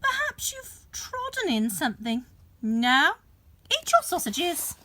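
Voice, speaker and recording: Give me a woman voicing a dismissive giant's wife, high-pitched and brisk. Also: huge pitch swings, pushed and bright, full character voice. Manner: brisk, scolding.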